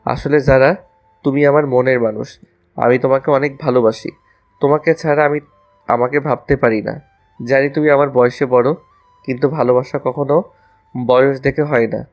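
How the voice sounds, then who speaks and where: A man's voice: medium pitch, fairly even intonation, moderate pace, pauses often, lightly acted. Man playing a lovestruck, cheeky young student over music. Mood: earnest, pleading.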